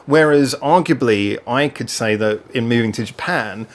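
A man's voice: medium pitch, normal speed. A man in his thirties from England talks loosely on a webcam call from a room at home. He rambles, restarts his sentences and laughs often, in an easygoing host's chat. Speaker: podcast host. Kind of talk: casual chat on a video call. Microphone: webcam on a video call.

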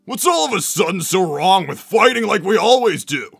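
A gravelly, grumpy cartoon echidna guardian acted by a man. His voice is fairly high for a male and swings with irritation and weariness. It is recorded dry with music behind. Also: lively intonation, moderate pace, a bit bright, no echo, exaggerated voice. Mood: weary. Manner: gruff, weary, irritable. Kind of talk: fan-made animated series voice acting.

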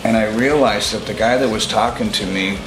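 A man's voice: on the low side, fairly quick, noisy background. A man casually telling a loose, profane story and laughing along. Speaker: interviewee musician. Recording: echoey room under loud music.

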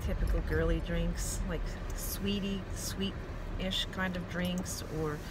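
A woman's voice: lowish voice, steady tone, slow pace. A woman, chatting casually and self-deprecatingly to a phone camera clipped inside her car, rambling and restarting her sentences. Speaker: vlogger. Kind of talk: casual car vlog monologue. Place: inside a parked and driving car at night. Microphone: phone clipped to the dashboard vent. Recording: loud car and road noise.

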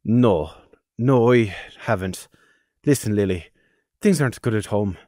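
A man's voice: on the low side, measured pace, a little mellow, no room sound, clean studio sound, natural voice. A man voicing a young stallion, an anxious brother who stammers and pleads for forgiveness. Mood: pleading.

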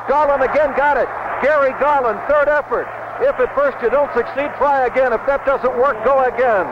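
A man's voice: very high voice, normal speed. A man calling live basketball play-by-play for radio in clipped, urgent phrases. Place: basketball arena. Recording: muffled, noisy old radio broadcast.

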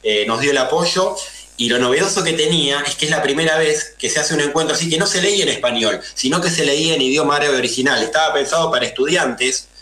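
A man's voice: on the high side, fast talker, bright, forceful tone, roomy sound. A man in his thirties from Argentina with a Buenos Aires accent, answering radio interview questions earnestly and with many fillers. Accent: Buenos Aires Spanish. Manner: earnest, explanatory, lots of fillers. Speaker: guest.

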